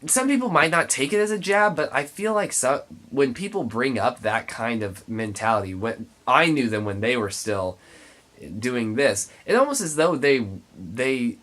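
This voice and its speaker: A man's voice: medium pitch, lively intonation, moderate pace, fairly bright tone. A man with a congested, nasal voice giving an emphatic, opinionated album review as the host. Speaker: music reviewer, show host. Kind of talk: spoken album review to camera.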